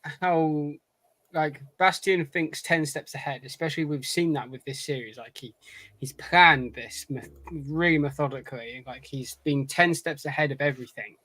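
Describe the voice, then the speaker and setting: A man with a slightly raised pitch talks casually on a podcast in a very dry sound. He works out ideas aloud, stumbling and repeating words as he goes. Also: sharp tone, dry recording. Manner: halting, repeats words while thinking aloud. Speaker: podcast co-host. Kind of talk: casual chat, speculating.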